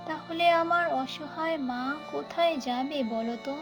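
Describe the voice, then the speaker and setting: A high voice over background music, a woman playing a wronged young wife in a cartoon fairy tale. She is gentle, trusting and plaintive. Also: normal speed. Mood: plaintive, resigned.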